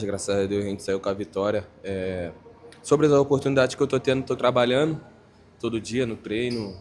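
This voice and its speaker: A young man in his late teens answers after a match in short, halting phrases. His voice sits on the low side. Faint noise hums in the background. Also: darkish tone. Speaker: young footballer. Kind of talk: post-match interview answers.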